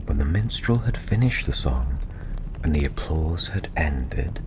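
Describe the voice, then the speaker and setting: Deep, slow, whispery male ASMR storyteller. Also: noisy background. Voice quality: soft, breathy, often near a whisper. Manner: gentle, soothing, unhurried with long pauses. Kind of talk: soft-spoken ASMR fantasy storytelling.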